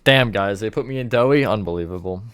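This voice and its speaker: A man's voice: fairly low pitch, fast talker, clear, resonant tone, no room sound. A man streamer chatting casually with his viewers, counting blocks and answering chat while he builds.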